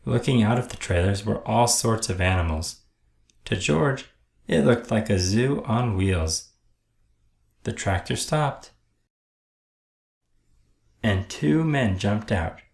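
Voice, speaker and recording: Man, deepish voice, gravelly fry, expressive read-aloud narration, deliberate phrasing, roomy echo. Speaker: storyteller reading a picture book aloud.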